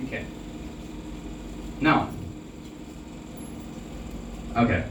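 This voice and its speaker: A man's voice: extremely slow, dull audio. Teen guy doing a formal earnest election speech, mic far away, bit of noise behind. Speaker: club presidential candidate. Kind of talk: prepared campaign speech. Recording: distant mic in echoey room, faint noise.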